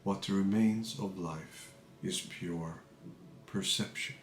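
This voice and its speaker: Slow, low-voiced man guiding meditation. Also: roomy sound, slight background noise. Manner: calm, measured, pausing between short phrases. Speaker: meditation teacher. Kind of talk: guided meditation, unscripted spiritual talk.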